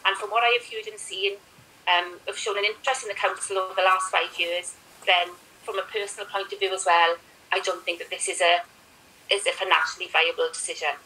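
A woman's voice: mid-range voice, flat delivery, sharp tone. A Welsh woman in her forties on a video call, firmly reading out public comments at a council meeting. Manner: brisk, firm reading. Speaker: member of the public. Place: room at home, indoors. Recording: distant mic on video call.

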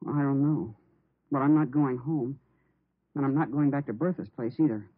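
An actor playing a nervous, polite teenage stepson in an American 1950s radio drama, earnest and hesitant. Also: male voice, medium pitch, moderate pace, slightly rough, no room sound, natural voice. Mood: polite, troubled. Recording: muffled, narrow-band vintage radio recording.

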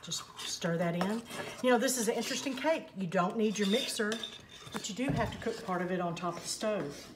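A woman's voice: mid-range voice, brisk. An older woman hosting a home cooking segment, talking viewers through a cake recipe step by step in a homey, instructive way, recorded in her kitchen. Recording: echoey kitchen with faint noise. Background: clinking pots, bowls and utensils.

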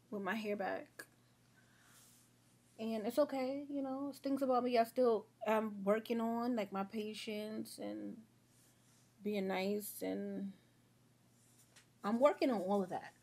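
Husky-voiced woman chatting through a makeup routine. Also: a little high, darkish tone. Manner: rambling, chatty, talks to viewers as y'all and girl. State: sniffly, fighting a sore throat. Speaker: beauty vlogger. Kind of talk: casual get-ready-with-me chat. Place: at home, doing her makeup.

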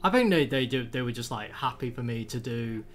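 A man's voice: mid-range voice, lively intonation, a little fast. A young man with a British accent, chatting casually on a podcast over a video call from home, full of fillers and restarts. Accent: British English. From United Kingdom. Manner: rambling, many fillers and restarts. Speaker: podcast host. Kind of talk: casual chat. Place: home room, indoors.

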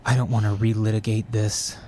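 A man's voice: fairly low pitch, level tone, brisk, darkish tone, close to an everyday voice. Actor as weary, kind office man, noisy background. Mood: dejected. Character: weary, kind, overworked male office colleague. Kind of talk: naturalistic audio drama acting.

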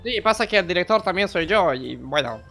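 A man's voice: high-pitched, lively intonation, a little fast, creaky, sharp tone, faint music behind, exaggerated voice. A man playing a pompous, sleepy, scatterbrained male authority figure, blustering and stumbling over words in a comic gaming roleplay.

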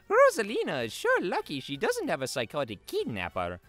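A man's voice: high-pitched, huge pitch swings, normal speed, fairly bright tone, heavily acted. A man voicing a cheerful, good-natured plumber hero who hosts a cartoon fan dub show in a friendly, teasing way. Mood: musing. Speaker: show host.

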